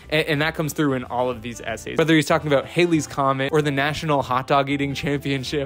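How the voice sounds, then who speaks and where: A young man in his twenties hosting a casual book review vlog, talking earnestly with fillers and restarts into a close studio mic in a small home room. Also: medium pitch. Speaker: book channel host. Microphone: boom-mounted studio mic close in front.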